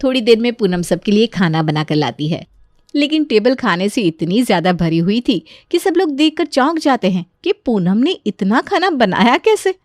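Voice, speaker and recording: A woman's voice: on the high side, sing-song, moderate pace, darkish tone, no echo, clean studio sound. A woman narrating a scripted story.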